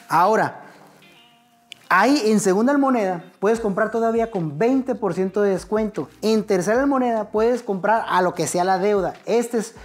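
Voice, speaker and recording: Mexican man, middle-aged, whiteboard lesson, conversational, soft music underneath. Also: high voice. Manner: conversational, addresses viewer directly, explains step by step; standing and gesturing at a whiteboard. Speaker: real estate educator. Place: indoor studio set.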